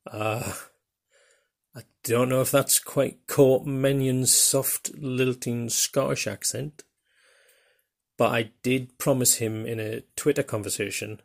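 An older man with a Geordie accent from northeast England, hosting his podcast in a rambling, self-deprecating way with many pauses and fillers. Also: mid-range voice, flat delivery, vocal fry. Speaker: podcast host. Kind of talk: casual monologue.

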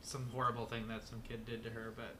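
A man's voice: medium pitch, monotone, quick pace, bright, forceful tone, a little hiss or hum, poor audio. A young man co-hosting a casual podcast, rambling with lots of fillers and swearing freely. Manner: loose, swears a lot, rambling with many fillers. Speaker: podcast co-host.

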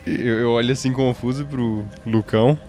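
A man's voice: mid-range voice, steady tone, normal speed, mellow, soft background music. A Brazilian man playing a tabletop game in a casual, conversational role-play style.

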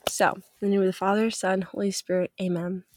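A woman's voice: medium pitch, little pitch movement, fairly quick, a little mellow, dry recording, studio quality. A young woman hosting a faith podcast, chatting upbeat and loose with many fillers, her voice stuffy from a cold. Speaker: podcast host. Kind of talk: casual faith podcast chat.

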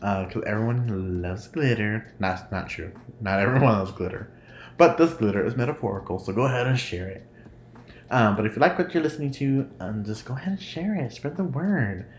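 A man's voice: fairly low pitch, animated, fairly quick. Casual solo podcast host, man, nasal, giggly and rambling; roomy echo with faint background noise. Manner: rambling, giggly, laughs often.

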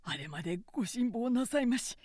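A woman's voice: on the high side, animated, fairly quick, a little mellow, dry recording, studio quality. A woman voicing a warlord's loyal, anxious nephew with an earnest, urgent manner in a story reading. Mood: encouraging.

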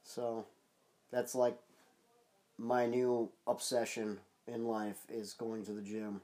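Male podcast co-host, casual, nasal and stuffed up, mid-pitched, rambling delivery. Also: flat delivery, slow pace, warm tone. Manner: rambling, loose, many fillers and restarts.

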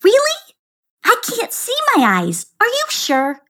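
A woman voicing a whiny, excitable little girl in a storybook reading, with a high squealing whine. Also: measured pace, heavily acted. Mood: startled.

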